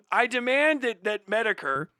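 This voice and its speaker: A man's voice: very high voice, bright, forceful tone, no echo, studio quality. A man hosting a livestream, giving sarcastic, exasperated and profane mocking commentary. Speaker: livestream host.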